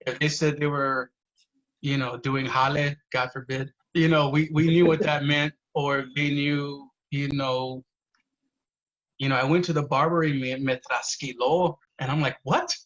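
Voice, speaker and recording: A man's voice: mid-range voice, flat delivery, measured pace, fairly bright tone, lo-fi sound. A man, a poet guest on a podcast, telling family stories earnestly, swearing freely and saying "you know" a lot. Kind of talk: casual podcast conversation.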